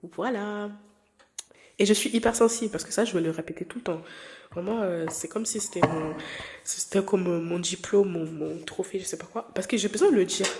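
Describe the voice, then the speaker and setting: A woman's voice: mid-range voice, moderate pace, a little mellow. A woman in her twenties, a non-native French speaker, hosting her podcast in a candid, rambling chat full of fillers and restarts. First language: not French. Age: 20s. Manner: candid and playful. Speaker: podcast host. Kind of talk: casual personal chat.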